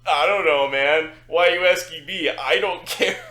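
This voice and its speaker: A man's voice: fairly high pitch, measured pace, pushed and bright. A man co-hosting a casual podcast, swearing freely and mimicking other people as he talks. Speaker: podcast co-host.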